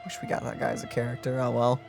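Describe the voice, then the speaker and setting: A man's voice: medium pitch, completely flat delivery, rapid-fire, creaky, music in the background. A man streamer making playful, offhand remarks while reacting to a game. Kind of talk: casual game reaction chat.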